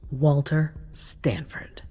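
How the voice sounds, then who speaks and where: An actress playing a grave, resolute vampire prince in a game cutscene, speaking formally with measured authority. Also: female voice, low-pitched, dark tone, breathy edge, in a whisper, faint music behind, heavily acted. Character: commanding female vampire prince, grave, resolute city ruler. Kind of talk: dramatic video game cutscene acting.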